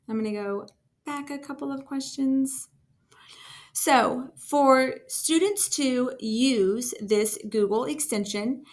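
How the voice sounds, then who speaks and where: A woman talking through a casual screen-share software tutorial as a trainer, in an informal, enthusiastic and encouraging way. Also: fairly high pitch. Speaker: school district technology trainer.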